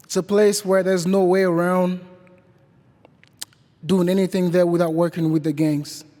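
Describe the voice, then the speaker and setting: High-voiced young man from Haiti. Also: level tone, dark tone. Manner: slow, deliberate, repeating phrases. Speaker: guest speaker at Mass. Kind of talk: personal testimony to a congregation. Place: church. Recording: church hall reverb.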